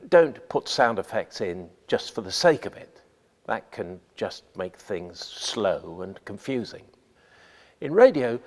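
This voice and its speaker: A man delivers scripted instruction at a slow, deliberate pace. His pitch moves expressively to stress key phrases, and the sound carries a long reverb, as in a hall. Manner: measured, deliberate, emphatic phrasing. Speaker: radio playwright giving writing advice.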